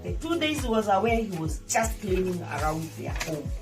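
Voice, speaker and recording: A woman's voice: mid-range voice, very animated, moderate pace. A woman reading a children's story aloud as a teacher, explaining and repeating points in a didactic way for young listeners. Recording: distant microphone, music behind the voice. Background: music.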